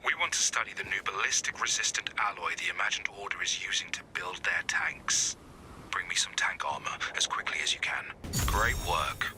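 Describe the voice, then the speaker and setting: A stern, world-weary military tactician for a video game. His voice is on the low side, and he delivers orders with guarded, formal authority. Clear background noise sits behind the briefing. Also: fairly quick. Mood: brisk, commanding. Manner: commanding, formal, guarded, clipped. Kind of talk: scripted game mission briefing.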